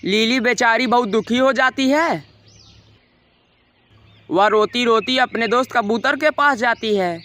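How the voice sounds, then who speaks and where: A man narrating a scripted animated children's moral story. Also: very high-pitched, unhurried, long pauses.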